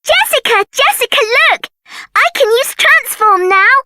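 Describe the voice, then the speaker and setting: A piercingly high, bright young child. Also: female voice, expressive, unhurried, dry recording, heavily acted. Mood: excited, flustered. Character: eager, unsure young child learning magic. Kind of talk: video game character acting.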